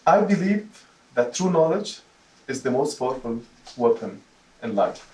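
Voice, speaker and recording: Man from Jordan, club speech, halting delivery, medium pitch, roomy distant recording. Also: big pitch swings, a little mellow. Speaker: club member giving a first speech. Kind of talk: prepared personal speech to a club audience. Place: meeting room, recorded from a distance. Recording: roomy echo, distant microphone.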